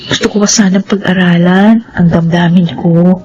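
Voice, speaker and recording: An actress playing a polite, soft-spoken young woman in a radio drama. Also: on the low side, steady tone, moderate pace, natural voice. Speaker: narrator and main character. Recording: dull lo-fi radio sound.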